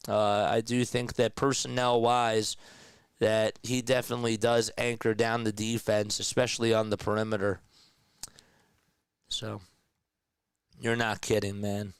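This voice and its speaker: Man, casual live basketball play-by-play, slightly raspy and nasal from a sore throat, smooth resonant tone, dry close mic. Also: fairly low pitch, little pitch movement, a little slow, long pauses, no room sound. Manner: conversational, reads and answers chat comments. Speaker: play-by-play commentator.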